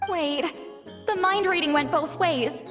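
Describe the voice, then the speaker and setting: High-pitched, huffy, flustered game heroine. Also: female voice, a little slow. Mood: embarrassed, huffy. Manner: stammering, huffy, sighing. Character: flustered, grumpy, easily embarrassed young-sounding woman. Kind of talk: video game character acting. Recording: clear music behind.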